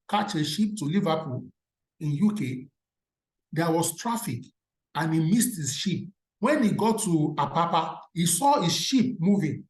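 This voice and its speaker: A Nigerian-accented speaker, a native Yoruba speaker, gives an emphatic motivational career talk. The voice sits in a mid register and rings with room echo. The speaker keeps pausing on rhetorical questions for the audience to answer. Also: mid-range voice, a little mellow. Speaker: career speaker at an alumni event. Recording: roomy echo.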